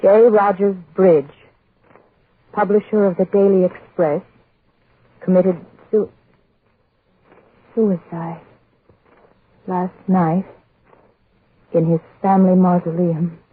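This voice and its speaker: An actress playing a prim, businesslike office secretary in 1940s radio drama style. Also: female voice, lowish voice, steady tone, slow, long pauses, breathy edge. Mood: bewildered, shocked. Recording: muffled tinny 1940s radio recording.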